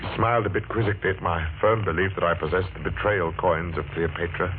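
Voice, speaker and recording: A man's voice: low voice, fairly even intonation, fast, noisy background, lightly acted. An actor playing a haunted man recounting his youth with dramatic, suspenseful delivery in 1940s radio thriller style. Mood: subdued. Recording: tinny old radio recording, loud music.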